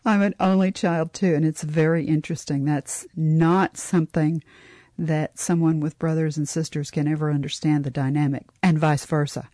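Woman, interview host, very low voice, mellow tone, engaged and affirming. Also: fairly even intonation, moderate pace, no room sound, studio quality. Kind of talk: interview chat.

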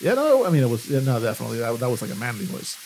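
A man's voice: mid-range voice, wildly swooping pitch, very fast, darkish tone, a little hiss or hum, rough recording. A young man joking around in character as a tabletop game player, laid-back, swearing casually and laughing often. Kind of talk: improvised in-character banter.

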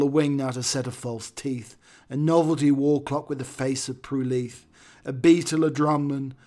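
Croaky man hosting a comic podcast. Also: mid-range voice, darkish tone. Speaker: podcast host. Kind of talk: scripted comic monologue and readings.

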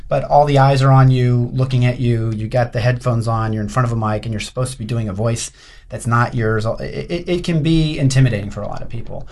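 A man who works as an animation creative director, talking casually in an interview and often restarting his sentences. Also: mid-range voice.

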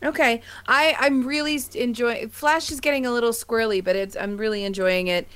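A woman's voice: a little high, steady tone, fairly quick, a bit bright, smooth. An American woman co-hosting a podcast in casual chat, speaking in an enthusiastic, gushing way. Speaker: podcast co-host. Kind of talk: casual chat about sci-fi and comics.

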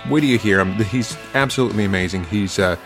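A man's voice: on the low side, fast talker, fairly bright tone, faint music behind. A man hosting a podcast, talking in a loose, rambling way with many restarts, then interviewing a guest. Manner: rambling, friendly, full of restarts. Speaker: podcast host.

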